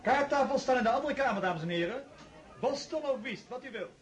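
A man's voice: very high voice, expressive, fairly quick. A man playing a pompous, fawning social-climbing party host in a radio play.

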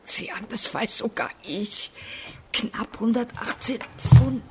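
An actress playing a cheeky, plain-spoken house servant in a radio drama. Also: female voice, fairly high pitch, slow pace, in a whisper.

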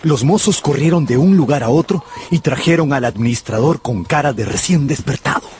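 An actor playing a deferential young tutor who narrates a radio drama in a formal, literary way. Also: male voice, medium pitch, animated, a little fast, a little mellow, slight background noise, lightly acted. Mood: narrating. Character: young tutor of twenty-five, recounting events, deferential. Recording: music behind the voice.

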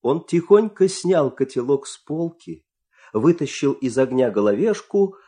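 Male storyteller, medium pitch, very animated, dramatic scripted narration, deliberate pauses. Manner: expressive, suspenseful, with deliberate pauses.

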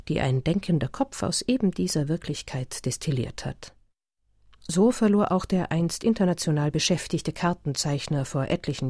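Husky narrator, scripted radio feature, really dry studio sound. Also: medium pitch, darkish tone, dry recording.

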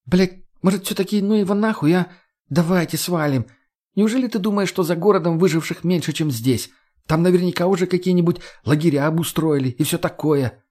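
Man voicing a brash, higher-pitched young woman. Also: brisk, a little mellow. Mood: agitated. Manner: slangy, mocking, argumentative. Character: brash foul-mouthed sarcastic young woman, survivor. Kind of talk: audiobook character voice.

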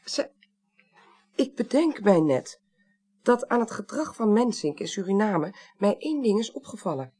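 A woman's voice: mid-range voice, big pitch swings, normal speed, warm tone, husky. Radio crime drama: woman clerk turned sleuth, very varied intonation, thoughtful, reserved, hesitant. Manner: hesitant, musing, repeats words. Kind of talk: 1980s Dutch radio drama acting.

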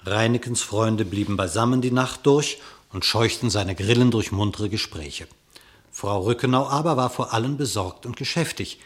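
A man narrating a classic radio play in literary verse, telling the story in a measured, formal way. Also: medium pitch.

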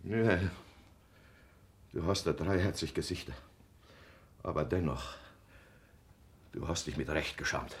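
A man's voice: rumbling low, measured pace, pauses often. An actor playing a wounded, angry, bitter village man in a German radio play, accusing and volatile. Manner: accusing, explosive, then subdued. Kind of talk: German radio play, emotional stage acting.

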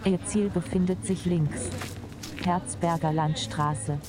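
A woman's voice: lowish voice, steady tone, slow pace. A woman voicing a neutral, clipped car navigation system giving directions. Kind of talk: turn-by-turn navigation prompts. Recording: loud vehicle noise.

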